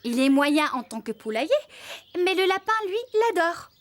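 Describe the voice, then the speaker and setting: A woman playing a caring, sensible farmer in charge, expressive and motherly, in a children's cartoon. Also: high-pitched, normal speed. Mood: reassuring. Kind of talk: children's cartoon dub acting.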